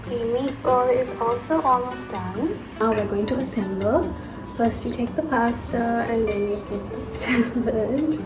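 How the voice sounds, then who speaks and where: Higher-pitched woman chatting over loud music. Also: unhurried, poor audio. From Sri Lanka. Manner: informal, explains step by step. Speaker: food vlogger. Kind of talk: casual vlog chat and cooking narration.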